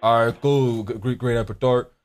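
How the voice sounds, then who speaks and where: A man's voice: mid-range voice, fairly even intonation, normal speed. A young American man making a prank call in brash, slangy, confrontational banter, swearing and repeating himself. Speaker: prank caller and streamer. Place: indoor gaming setup.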